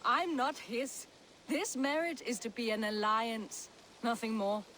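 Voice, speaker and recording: A woman's voice: on the high side, animated, a little slow, a bit bright, slight background noise. A woman playing a proud, commanding Norse noblewoman in a video game, firm and blunt in her delivery. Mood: dismissive.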